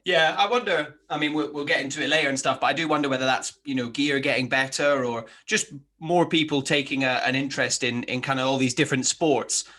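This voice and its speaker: A man's voice: mid-range voice, a little fast, few pauses, sharp tone. A man hosting a podcast in a casual, matey and enthusiastic interview style. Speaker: podcast host.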